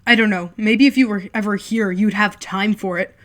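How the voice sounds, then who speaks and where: A woman's voice: mid-range voice, steady tone, moderate pace, natural voice. A woman voicing a hurt, defiant younger brother, a teen or young man who talks back in heated bursts. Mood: furious.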